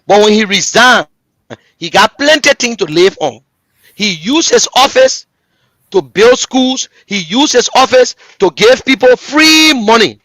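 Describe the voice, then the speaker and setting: A man's voice: extremely high pitch, lively intonation, unhurried, pushed and bright, dry recording. A man with a Liberian English accent giving passionate political commentary as a talk show host, repeating lines and addressing listeners directly. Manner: impassioned, repetitive, rhetorical address to listeners.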